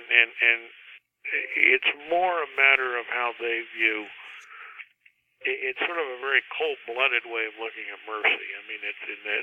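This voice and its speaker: Male author guest, candid and halting, casual interview delivery, narrow phone-like sound. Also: medium pitch, dry recording. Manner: halting, candid, self-deprecating. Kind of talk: casual interview talk about writing. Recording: muffled phone-like radio line.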